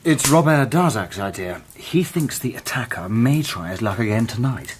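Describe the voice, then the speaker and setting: A man's voice: mid-range voice, expressive, moderate pace, a little hiss or hum, natural voice. A man playing a polite, loyal young companion in a radio drama, mild and slightly hesitant in manner. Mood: mild, genial. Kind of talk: British radio drama acting.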